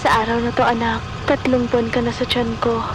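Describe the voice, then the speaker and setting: A woman's voice: a little high, completely flat delivery, fairly quick, noisy background, natural voice. A woman playing a loving expectant mother talking to her baby in an audio drama, tender and sentimental, with a Filipino accent. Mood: tender, happy.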